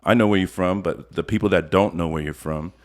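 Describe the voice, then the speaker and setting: A middle-aged man hosting an interview podcast, talking in a relaxed, friendly way full of street slang. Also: sits low, brisk. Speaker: podcast host. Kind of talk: casual interview chat.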